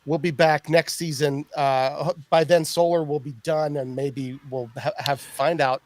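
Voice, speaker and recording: A man's voice: on the high side, fairly even intonation, unhurried, a little mellow, dry recording. A middle-aged man hosting a podcast in casual chat, rambling with many fillers and restarts and laughing often. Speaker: podcast host.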